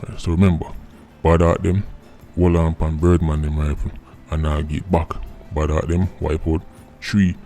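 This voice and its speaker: A man's voice: low voice, mellow. A Jamaican man giving explanatory commentary as a vlog host, emphatic and often checking in with "right". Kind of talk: explanatory commentary in Patois-mixed English. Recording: music under the voice.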